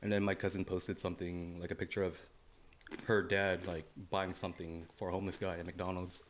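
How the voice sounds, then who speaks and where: A man with a lowish voice talks through a relaxed podcast conversation, unhurried and laid-back. He swears casually and fills his sentences with "like" and "you know". A faint hiss sits under the recording. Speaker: podcast co-host. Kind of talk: casual chat.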